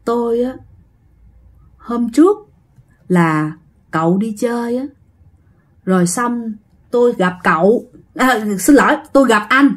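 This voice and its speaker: A woman's voice: on the high side, expressive, slow, pauses often. A woman voicing the frightened ghost of an old man with a Northern Vietnamese accent, pleading politely and repeating himself. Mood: scared pleading.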